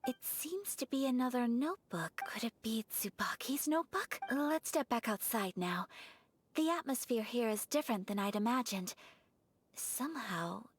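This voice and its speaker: A woman voicing a gentle, polite young noblewoman in a video game who speaks softly and formally, at times in a whisper. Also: a little high, animated, moderate pace, slight background noise, natural voice. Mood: gentle, thoughtful. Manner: soft-spoken and formal, often whispering.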